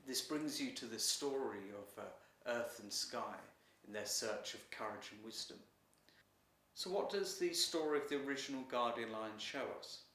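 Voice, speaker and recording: Guy reading a kids story, expressive and deliberate, medium pitch, roomy echo. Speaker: author reading own story. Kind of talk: scripted children's story narration. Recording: roomy echo, distant mic.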